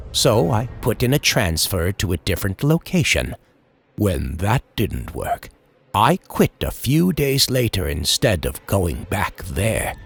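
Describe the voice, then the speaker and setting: A man's voice: on the low side, creaky. A man reading a scripted first-person scary story as a storyteller, in a dramatic, suspenseful manner.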